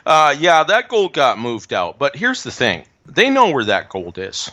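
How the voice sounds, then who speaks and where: A man's voice: medium pitch, wildly swooping pitch, a little slow, pushed and bright. A man giving opinionated, emphatic commentary on politics and economics in a casual chat. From Canada. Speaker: guest commentator.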